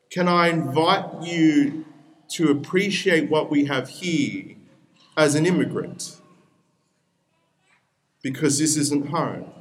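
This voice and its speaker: Earnest Peruvian-born man preaching, fairly high. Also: fairly bright tone. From Peru. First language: Spanish. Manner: earnest, with repeated appeals and pauses. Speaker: pastor. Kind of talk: sermon.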